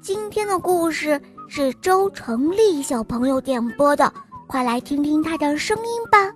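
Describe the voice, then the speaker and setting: A young woman hosting a children's bedtime story show, narrating in a sweet, sing-song, gently coaxing manner. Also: high-pitched, lively intonation, measured pace, faint music behind.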